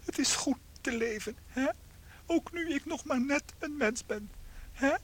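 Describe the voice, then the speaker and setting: A woman playing a tiny shrunken unfaithful husband in a radio play, theatrical, anxious and guilt-ridden, with a high husky voice. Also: measured pace. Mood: rapturous.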